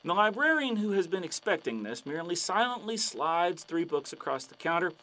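A man telling long, shaggy-dog jokes with a deadpan delivery in a radio drama. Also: sits high, a little fast, heavily acted, low-quality recording. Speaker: joke teller.

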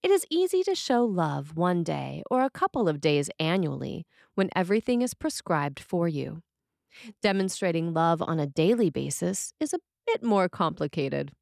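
A woman reading a scripted sermon as a preacher, with measured, deliberate phrasing. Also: fairly low pitch, very animated, vocal fry, a little mellow, no room sound, studio quality.

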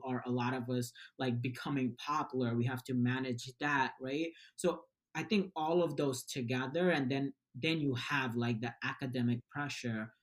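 A man from Bangladesh, a nonprofit founder, answering interview questions earnestly with many fillers and "right?" tags. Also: medium pitch.

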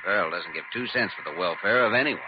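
Concerned young physician, American, low voice, lively inflection, polite and earnest, vintage radio play, faint noise. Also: male voice, fairly quick. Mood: earnest, insistent. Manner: courteous, earnest. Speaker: actor in a radio drama. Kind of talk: classic American radio drama acting. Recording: muffled old radio recording, faint noise.

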